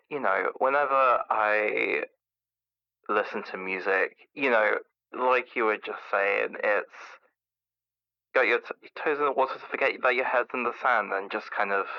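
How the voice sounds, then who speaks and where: Muffled, gravelly anxious radio caller, played by a man. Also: fairly low pitch. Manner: nervous, rambling, repetitive. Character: anxious, self-doubting male scientist calling a radio show. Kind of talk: improvised call-in radio acting.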